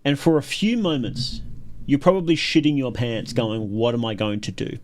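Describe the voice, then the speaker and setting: A man's voice: mid-range voice, normal speed, a little mellow. A man hosting a livestream, giving casual, enthusiastic reaction commentary with emphatic repetition. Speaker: livestream host reacting to videos.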